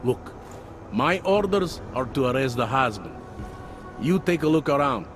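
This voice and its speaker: An actor voicing a world-weary, pragmatic police detective in a video game, with a wry, insinuating manner. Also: male voice, medium pitch, lively intonation, measured pace, background noise, lightly acted. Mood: confiding, sly. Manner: wry, insinuating, tags questions with "eh" and "huh". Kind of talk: video game cutscene dialogue.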